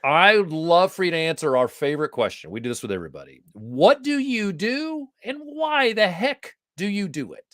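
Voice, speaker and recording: A man's voice: sits high, normal speed, hardly any pauses, no room sound, clean studio sound. A man hosting a casual live online interview, chatty and playful as he welcomes his guest. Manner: chatty, jokey, animated. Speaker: host. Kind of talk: casual livestream interview chat. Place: Atlanta area.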